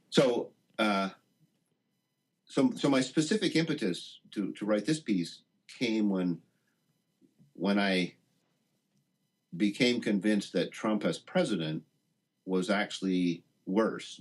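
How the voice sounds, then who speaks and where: A man being interviewed as a guest, speaking reflectively and haltingly, often rephrasing as he searches for words. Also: lowish voice, slightly rough. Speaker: interview guest, writer of a satire piece.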